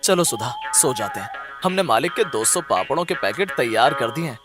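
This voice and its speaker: A man's voice: medium pitch, big pitch swings, normal speed, music in the background, lightly acted. A man voicing a humble, earnest poor villager in a cartoon story, respectful and easily distressed. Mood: calm. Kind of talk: Hindi cartoon story acting.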